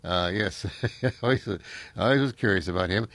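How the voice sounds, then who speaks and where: A man's voice: on the low side, fairly quick, vocal fry, a bit bright, no echo. An older man, hosting a casual chat and reminiscing in a rambling way, full of fillers and restarts.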